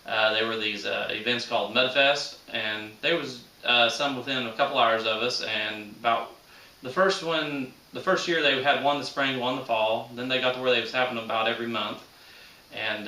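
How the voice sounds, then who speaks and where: A man's voice: lowish voice, sharp tone. A man in his thirties chatting casually and unscripted to viewers as a channel host, with a folksy manner and rural, colloquial grammar. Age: 30s. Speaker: host of a shooting hobby channel. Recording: roomy echo, distant microphone.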